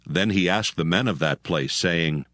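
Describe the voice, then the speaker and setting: A man narrating an archaic scripture text in a measured, solemn reading. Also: deep voice, fairly bright tone, no echo.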